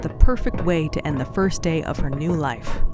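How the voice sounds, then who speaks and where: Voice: mid-range voice, normal speed, loud music behind the voice. A narrator reading a scripted audio drama in a descriptive storytelling manner.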